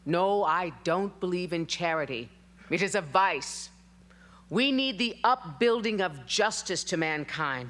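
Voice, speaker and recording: An actress playing a feisty, defiant elderly female union organizer rallying miners in a forceful, wry, declamatory dramatic reading. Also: mid-range voice, lightly acted.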